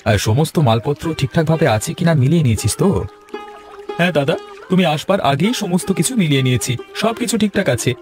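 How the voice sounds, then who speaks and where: A man's voice: on the high side, expressive, quick pace, faint music behind, natural voice. A man voicing a busy boat trader in a Bengali cartoon, hearty and businesslike. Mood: eager, businesslike. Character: busy boat trader organising his crew. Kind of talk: Bengali cartoon story dubbing.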